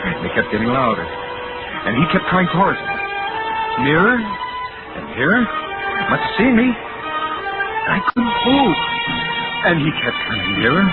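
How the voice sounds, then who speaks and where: An actor playing a haunted, delusional man in a 1940s radio thriller, telling his nightmarish memory haltingly and breaking into panic. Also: on the high side, wildly swooping pitch. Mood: mounting terror. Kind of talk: 1940s radio thriller acting, first-person narration. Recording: tinny vintage radio, loud music behind.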